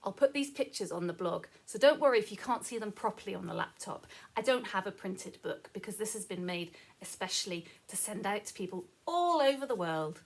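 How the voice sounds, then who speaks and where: A woman reading a story aloud to children as a teacher, explaining as she goes in an expressive, sing-song manner with questions to the listeners. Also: mid-range voice, brisk, few pauses.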